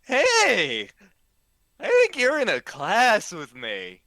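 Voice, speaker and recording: Lovesick drunk frat-party kid, played by a man: high, swooping, earnest, stammering, comic storytelling. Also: takes it slowly, dry recording, clean studio sound, heavily acted. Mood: pleading. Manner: stammering, repetitive, excitable. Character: drunk lovesick male college party-goer, earnest and pleading.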